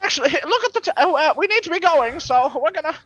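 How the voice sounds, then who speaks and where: A woman's voice: very high voice, expressive, quick pace, fairly bright tone. A woman playing a slippery, pleading male con artist in a comic radio play, stammering and wheedling as the character talks. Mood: flustered.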